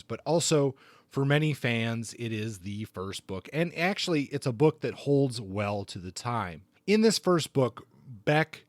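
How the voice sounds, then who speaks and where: A man's voice: mid-range voice, no room sound. A man co-hosting a podcast, talking through book reviews in a conversational way. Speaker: podcast co-host.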